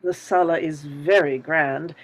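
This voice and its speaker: A woman's voice: deep voice, steady tone, measured pace. A woman reading a shrewd, haughty elderly landlady at a table read, probing with each question.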